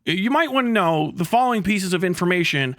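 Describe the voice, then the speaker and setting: Male streamer, high bright voice, casual rambling commentary, amused and profane, close dry sound. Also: animated, fairly quick, no echo. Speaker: live streamer, host of the channel. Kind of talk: casual livestream commentary with reading aloud.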